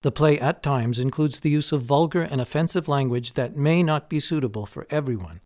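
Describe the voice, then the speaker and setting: A man's voice: a little high, a little fast, a little mellow. A man reading a scripted content advisory as an announcer.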